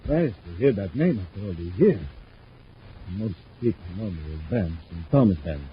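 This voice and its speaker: A man's voice: low voice, expressive, moderate pace. A man playing a flustered, hesitant businessman in a 1950s radio drama. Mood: startled, evasive. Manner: hesitant, protesting innocence. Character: flustered businessman, villain's male lookalike cousin. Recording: muffled old radio recording, faint noise.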